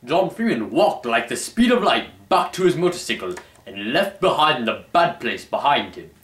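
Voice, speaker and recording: Theatrical young man, very animated, roomy sound. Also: medium pitch, normal speed. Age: young adult. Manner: theatrical, pompous, formal. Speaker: host and reader. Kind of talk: mock-dramatic story reading. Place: small dim room at home. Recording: roomy, distant camera microphone.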